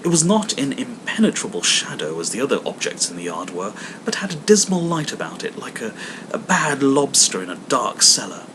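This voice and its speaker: Man reading dramatically, noisy home recording. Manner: dramatic, with emphatic stresses and hushed drops. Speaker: audiobook reader. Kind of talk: expressive reading of a classic ghost story. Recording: clear background noise, low rumble and hum.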